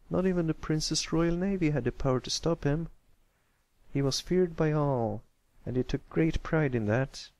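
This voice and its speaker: Man as a dramatic, creaky-voiced pony storyteller. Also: on the high side, darkish tone, dry recording, pitched above the performer's own voice. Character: pony storyteller spinning a grand pirate yarn.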